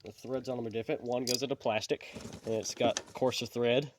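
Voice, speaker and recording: A man's voice: medium pitch, steady tone, a little fast, background noise. A man hosting his channel, walking through a repair step by step in a plainspoken, folksy way, sounding sniffly from allergies. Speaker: channel host. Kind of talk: casual how-to repair walkthrough.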